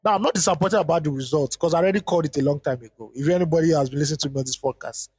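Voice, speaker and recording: A man co-hosts a podcast. His voice sits fairly high and is breathy, and it trails off into easygoing fillers. A long reverb hangs around him. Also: rapid-fire, a little mellow. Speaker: podcast co-host. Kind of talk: casual chat, reading a listener letter aloud.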